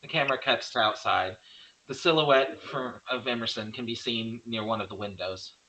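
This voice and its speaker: Male narrator for screenplay directions: scripted read, medium pitch, crisp bright edge. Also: moderate pace, poor audio. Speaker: narrator reading stage directions.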